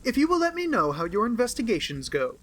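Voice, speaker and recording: American man doing a sardonic gentleman detective, measured, sarcastic, bright tone, audiobook. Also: very high voice, huge pitch swings, voice pushed up, full character voice. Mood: dry.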